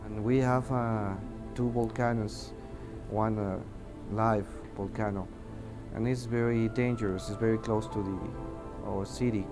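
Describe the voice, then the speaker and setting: A man with a Mexican accent, a native Spanish speaker, giving testimony in an interview and haltingly searching for words in his second language. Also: on the low side, steady tone, music in the background. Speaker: air traffic controller giving testimony. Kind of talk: interview testimony, unscripted recounting.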